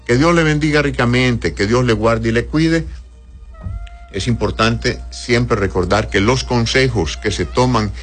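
A man hosting a call-in medical advice radio show, reading listener messages and giving brisk, blunt advice with blessings. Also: medium pitch, soft background music. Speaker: radio doctor answering listener questions.

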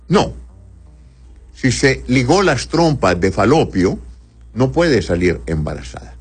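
A man hosting a call-in medical advice show, calmly explaining conditions and reading listeners' messages aloud. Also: mid-range voice, takes it slowly, slightly rough, faint music behind. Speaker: radio doctor answering listener questions.

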